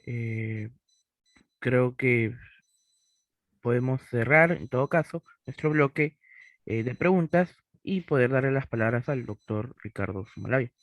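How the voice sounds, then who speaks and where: A man's voice: mid-range voice, unhurried, no echo. A man moderates a webinar question session with polite formality, reading out audience questions. His voice is dry and close on a video call.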